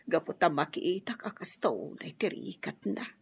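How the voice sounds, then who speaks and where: A woman's voice: lowish voice, expressive, moderate pace, vocal fry, breathy edge, heavily acted. A woman acting an older, sorrowful, worried mother in a radio drama, speaking with an Ilocano accent. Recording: dull, lo-fi radio drama audio.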